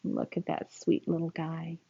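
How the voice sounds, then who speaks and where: Unhurried woman reading to children, lower-pitched. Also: creaky, slightly rough, lo-fi sound. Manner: expressive, teacherly, addressing children directly with questions. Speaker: storyteller reading a picture book aloud to children. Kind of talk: read-aloud storytelling with asides to young listeners.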